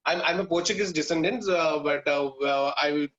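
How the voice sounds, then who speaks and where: A man, a guest chef on a video call with dull, muffled audio. His voice sits a little high, and he speaks haltingly, restarting his phrases as he goes. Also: a bit bright. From India.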